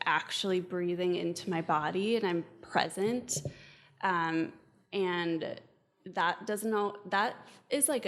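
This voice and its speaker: Upbeat woman life coach, low-pitched. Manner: upbeat, reflective, many "like" and "um" fillers. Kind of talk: casual podcast conversation.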